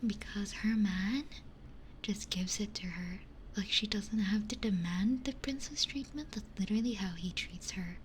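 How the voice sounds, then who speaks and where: A woman streams ASMR in a soft, mostly unvoiced whisper. She muses conversationally as she talks to her chat, pausing between short phrases. The binaural mic is very close, with noticeable ambient noise behind her. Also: medium pitch. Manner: hushed, unhurried conversational musing with pauses. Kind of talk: ASMR, chatting with live chat. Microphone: close binaural ASMR microphone.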